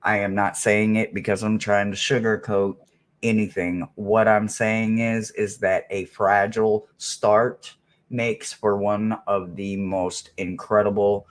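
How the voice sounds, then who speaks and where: Slow, deliberate man giving a tarot reading. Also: fairly low pitch, flat delivery. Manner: emphatic, dramatic pauses, seeks agreement often. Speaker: tarot reader. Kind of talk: spoken tarot reading to viewers.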